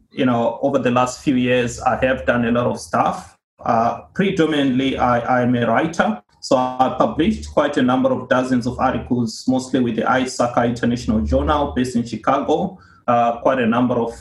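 Earnest man from Zimbabwe, roomy sound. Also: mid-range voice. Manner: earnest, measured explanations with frequent "uh" pauses. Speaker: guest, cybersecurity author and institute co-founder. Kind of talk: podcast interview answers.

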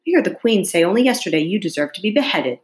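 A woman's voice: lowish voice, lively intonation, fast talker, lightly acted. Woman voicing a sulky, snappish bickering gardener. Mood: sulky, quarrelsome. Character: sulky, bickering playing-card gardener, quick to blame.

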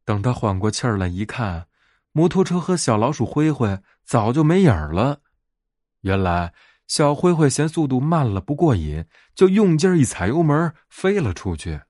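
A man narrating a bedtime fairy tale as a storyteller, in an expressive scripted read. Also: mid-range voice, moderate pace, dry recording, studio quality.

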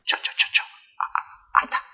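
A man's voice: fairly quick, pushed and bright. A man playing a frightened male villager in a horror radio drama, stammering and urgent with a trembling voice. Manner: stammering, urgent, rough-spoken. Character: frightened villager man warning a girl away.

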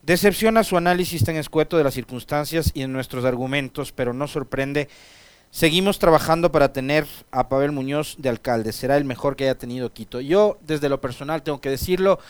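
A man with an Ecuadorian Spanish accent hosting a morning radio interview, formal with filler pauses. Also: a little high, smooth, no room sound. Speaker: radio host.

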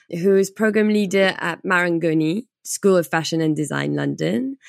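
Woman, podcast host, low voice, gravelly fry, dry close sound. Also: no echo. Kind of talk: scripted podcast intro.

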